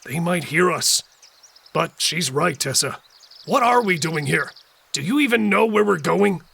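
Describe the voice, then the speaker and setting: Guy doing gravelly young survivor dude, bright tense voice, earnest. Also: a little high, lively intonation, measured pace, heavily acted. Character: Cole, young male survivor, ex-delivery driver.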